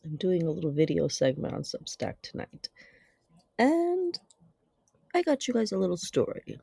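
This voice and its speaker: A host giving a theatrical scripted narration in a solemn, deliberate and dramatic manner. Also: mid-range voice, huge pitch swings, creaky, dark tone, slightly rough, no room sound, clean studio sound. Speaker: video host and storyteller.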